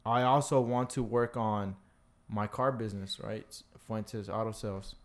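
Casual, cursing Salvadoran-born man. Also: lowish voice. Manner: candid, profane, talks fast when excited. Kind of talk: casual chat.

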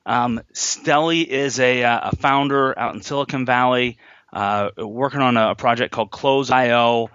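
A male podcast host opens the interview with upbeat enthusiasm, stumbling now and then on fillers as he introduces his guest. His voice is an everyday middle pitch. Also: fairly even intonation, moderate pace, fairly bright tone, clear, resonant tone, no room sound, muffled recording.

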